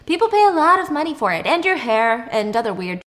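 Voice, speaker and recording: A woman storyteller plays a kidnapper who talks like a polite, casual guy. He is creepy, coaxing and matter-of-fact, in a lightly pitched voice. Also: very animated, brisk, fairly bright tone. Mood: coaxing, menacing. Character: calm, creepy young man, polite kidnapper.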